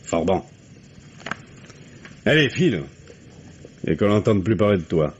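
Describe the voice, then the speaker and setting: A gruff wry police commissioner. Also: male voice, lowish voice, very animated, unhurried, lo-fi sound. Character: shrewd gruff police commissioner, middle-aged man. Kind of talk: 1950s French radio drama acting. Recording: old radio drama recording with faint hiss.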